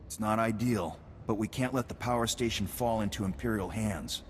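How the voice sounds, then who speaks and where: A man's voice: moderate pace, close to an everyday voice. A man playing an earnest, grave military officer in a science fiction video game. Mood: grave, decisive. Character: earnest, grave Republic military commander at an ice outpost. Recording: boomy hall reverb, noisy game ambience.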